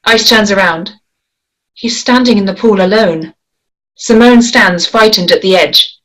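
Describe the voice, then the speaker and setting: A woman reads a screenplay aloud at a measured pace, laying out scene directions and action lines. Her voice sits in an ordinary middle pitch. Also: fairly bright tone, low-quality recording. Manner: measured, descriptive reading. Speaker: script reader. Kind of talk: screenplay table read.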